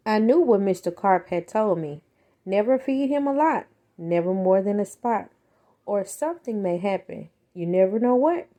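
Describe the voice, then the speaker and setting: Female children's read-aloud narrator, mid-pitched and very animated, with deliberate dramatic emphasis. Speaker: storyteller reading a children's book aloud.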